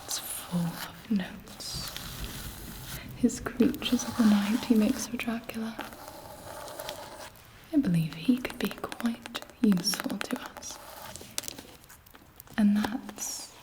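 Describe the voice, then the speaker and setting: A woman playing a scornful, seductive, ambitious vampire queen in a whispered ASMR roleplay, speaking deliberately and haughtily with dramatic pauses. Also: mid-range voice, close to an everyday voice, low-quality recording. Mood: sly, conspiratorial.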